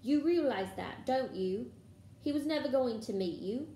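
A woman's voice: high-pitched, animated, a little slow, mellow, pitched above the performer's own voice. A woman voicing a bossy, exasperated clever schoolgirl in a storybook, scolding with emphasis. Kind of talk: storybook character voice in a read-aloud. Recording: roomy echo, faint background noise.

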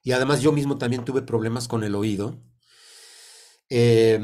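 A man in his sixties with a Mexican Spanish accent, congested from a cold, teaching informally over a video call in a halting, deliberate way with many pauses. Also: mid-range voice, a little mellow. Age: 60s. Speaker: sound therapy teacher. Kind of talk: informal explanatory online talk. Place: indoor room on a video call. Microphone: computer mic on a video call.

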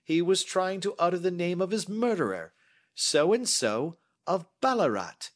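A man's voice: sits high, big pitch swings, measured pace, pitched above the performer's own voice, full character voice. A man reading as a brilliant, self-assured Victorian detective who explains his deductions precisely. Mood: lecturing.

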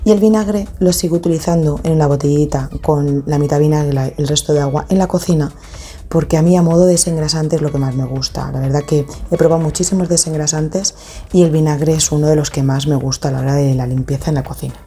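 Woman, thirties, low pitch, relaxed warm tone, casual voice-over, chatty, frequent fillers and restarts. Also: fast. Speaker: home cleaning vlogger. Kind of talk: casual voice-over narration of a clean-with-me video.

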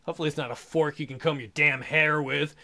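A man's voice: on the high side, fairly even intonation, fast, sharp tone. A man hosting a gaming video, giving comic, irreverent commentary as he reads a visual novel aloud. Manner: irreverent, joking, exaggerated. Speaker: gaming host.

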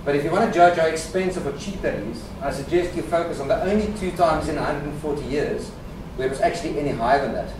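A man's voice: on the high side, quick pace. A man giving a conference presentation, joking dryly, with fillers and restarts. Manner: wry, joking asides with fillers. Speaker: conference speaker. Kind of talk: presentation talk. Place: conference hall. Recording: echoey hall from far off, background noise.

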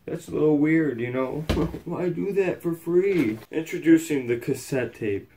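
Young man with a mellow, halting delivery. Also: a little high, slight background noise. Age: young adult. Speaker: presenter. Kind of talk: casual scripted history presentation. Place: small indoor room at home.

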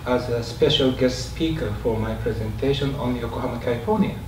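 A middle-aged man from Japan with a Japanese accent, giving an informal guest speech in a halting, hesitant way. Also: mid-range voice. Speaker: guest speaker, writer and researcher. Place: indoor meeting room, standing. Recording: echoey room, distant mic, clear background noise.

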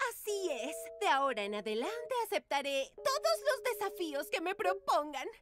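A very high, swooping teenage diva in a cartoon. She is vain and scheming, savoring her phrases with haughty, gloating theatricality. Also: big pitch swings, unhurried, full character voice. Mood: haughty, mocking. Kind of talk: dubbed cartoon acting.

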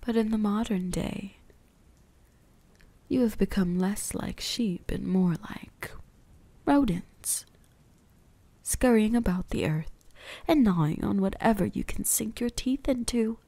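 Woman acting a slow, breathy ancient vampire huntress. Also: mid-range voice, dark tone. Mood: condescending, amused. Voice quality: breathy, whispery. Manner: slow, teasing, condescending. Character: ancient, predatory, seductive female vampire huntress. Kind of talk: ASMR roleplay, intimate scripted monologue.

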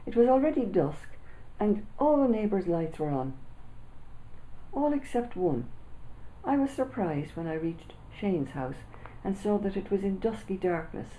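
An older woman reading her own children's book aloud with lively first-person storytelling, seated in a small room. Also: mid-range voice, measured pace, a little hiss or hum, poor audio. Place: small indoor room with printed backdrop.

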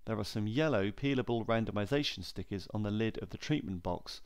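A man narrating a scripted training film in a measured, formal, clearly enunciated way. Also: on the low side.

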